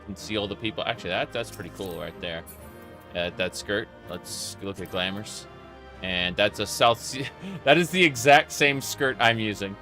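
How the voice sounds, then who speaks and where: A man's voice: lowish voice, expressive, normal speed, sharp tone. A man doing casual live gameplay commentary as a streamer, reacting off the cuff. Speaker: game streamer. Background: game music.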